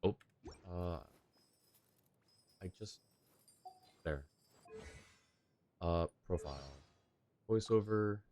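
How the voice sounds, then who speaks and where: A man's voice: sits low, very slow, pauses often, darkish tone, a little hiss or hum. A male streamer chatting casually while playing a game, rambling and laughing often. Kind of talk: casual gameplay chat.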